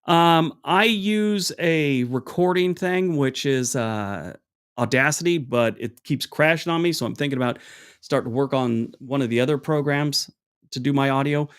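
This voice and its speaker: Man, deep voice, casual livestream host, rambling, lots of fillers, small clip mic, home room. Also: normal speed, clear, resonant tone. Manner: rambling, chatty, many restarts and fillers; acts out both sides of an anecdote with raised pitch. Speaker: livestream host answering chat questions. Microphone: small wireless clip mic.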